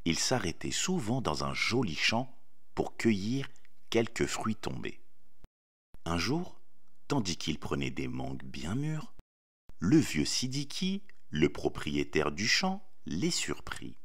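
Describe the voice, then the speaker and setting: A man narrating a scripted children's story expressively, with theatrical pauses. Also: low voice, very animated, a bit bright.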